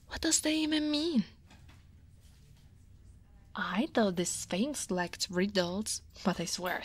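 A weary female dragon office worker, played by a woman for an ASMR roleplay. She speaks very slowly at a medium pitch, soft and breathy, often dropping to a half-whisper. She is easily flustered and hesitant. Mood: annoyed, sore. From Italy.

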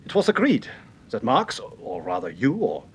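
Male, formal, mocking schemer for vintage radio drama, highly varied intonation, slight rumble behind. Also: huge pitch swings, normal speed. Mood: silkily mocking. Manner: mannered, over-polite, formal. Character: smooth-talking, mocking male intruder with formal courtesy. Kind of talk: old radio detective serial acting. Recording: vintage radio recording with rumbling background noise.

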